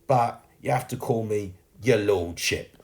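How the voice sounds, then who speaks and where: Drawling, pompous mock lord. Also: male voice, low-pitched. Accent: mock upper-class English. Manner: pompous, drawn-out. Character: chimney sweep affecting a pompous posh lord.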